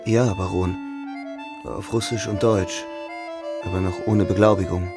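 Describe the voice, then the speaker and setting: An actor playing a humble, earnest young count and sculptor, deferential in manner, in a German radio drama. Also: male voice, deep voice, steady tone, measured pace, over loud music. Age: young adult.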